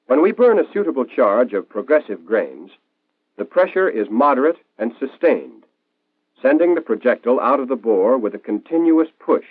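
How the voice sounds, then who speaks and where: A man's voice: medium pitch, big pitch swings, normal speed, dry recording. American man narrating a tinny 1940s training film. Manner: clear, didactic, explanatory. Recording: tinny band-limited 1940s film soundtrack.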